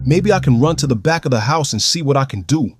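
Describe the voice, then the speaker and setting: A man's voice: medium pitch, lively intonation, quick pace, faint music behind, lightly acted. An actor playing an alarmed man racing to rescue friends, narrating urgently in an audio drama. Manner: urgent, tense first-person telling.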